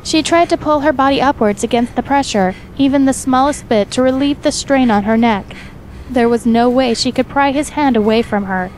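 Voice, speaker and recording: A woman's voice: high-pitched, moderate pace, darkish tone, a little hiss or hum, low-quality recording. A woman narrator reading a dramatic fiction story from a script.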